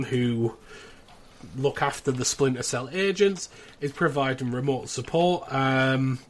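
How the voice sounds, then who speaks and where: A man with a mid-pitched voice talks casually as a podcast co-host. He gives rundowns with dry, wry asides. There is faint ambient noise behind him. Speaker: podcast co-host presenting the episode.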